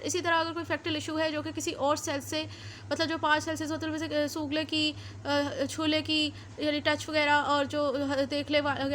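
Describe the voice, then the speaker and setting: A woman with a Pakistani accent speaks in a very high voice, teaching in a didactic way. She explains step by step and restates each point, moving between her own explanation and read-out English passages. There is faint noise behind her. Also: fairly bright tone. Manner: didactic, repeats and rephrases points. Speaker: law lecturer. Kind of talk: explanatory lecture mixing Urdu with read-out English statutes.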